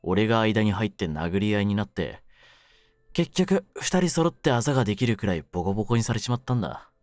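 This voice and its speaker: A man playing a cynical, wounded young mafia kidnapper in an audio drama, with a low voice and rough, brooding delivery. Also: sing-song, fairly quick, darkish tone, no room sound. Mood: grieving, bitter. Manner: rough masculine slang, taunting, brooding delivery. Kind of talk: Japanese situation-voice audio drama, ASMR.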